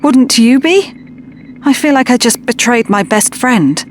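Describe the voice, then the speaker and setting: A woman's voice: a little high, lively intonation, a little slow, a little hiss or hum. A woman playing a troubled, conflicted friend in an audio drama, with an earnest, questioning, wistful delivery. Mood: wistful, hurt.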